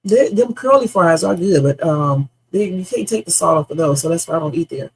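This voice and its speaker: Gravelly, husky woman in her fifties rambling. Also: on the low side, lively intonation, a little fast, rough recording. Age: 50s. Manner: blunt, salty, meandering storyteller. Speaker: livestream host. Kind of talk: rambling casual livestream chat.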